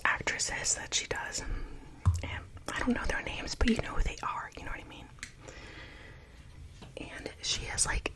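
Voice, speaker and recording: A young woman whispering through a makeup tutorial, rambling with plenty of fillers and chatting to her viewers. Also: poor audio. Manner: chatty, self-conscious, asks viewers for comments. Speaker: beauty vlogger.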